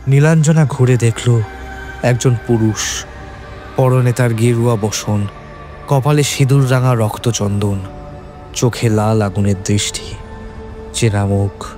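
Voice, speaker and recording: A man's voice: mid-range voice, takes it slowly, long pauses, music in the background. A man narrating a scripted horror story in a dramatic, suspenseful manner.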